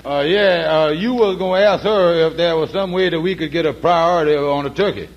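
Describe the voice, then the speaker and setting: A man's voice: a little high, fairly quick, close to an everyday voice. A man playing an earnest, polite, sensible everyman in 1940s American radio comedy, talking in a folksy, hesitant, ungrammatical way. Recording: dull old radio recording.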